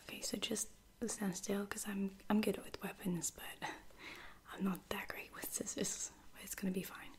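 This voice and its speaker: A woman playing a caring, apologetic space-soldier lieutenant in a whispered ASMR roleplay, speaking hesitantly and reassuringly in a breathy whisper. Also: medium pitch, level tone, natural voice. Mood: reassuring, careful. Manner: hesitant, gentle, reassuring, with soft-spoken pauses.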